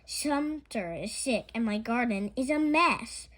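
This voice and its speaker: A woman's voice: on the high side, animated, slow pace, a bit bright. Woman reading as a busy storybook lady of the house. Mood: busy, welcoming. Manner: polite, instructing. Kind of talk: children's storybook character voice.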